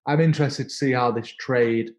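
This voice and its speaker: Halting man in his thirties. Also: mid-range voice, fairly even intonation. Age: 30s. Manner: halting, repeats words and restarts often. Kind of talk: casual interview conversation.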